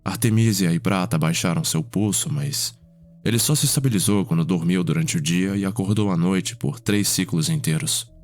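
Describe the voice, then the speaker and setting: A man playing a composed, fond vampire healer and elder brother in an ASMR roleplay, speaking in a measured, formal way. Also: low voice, a little mellow, natural voice.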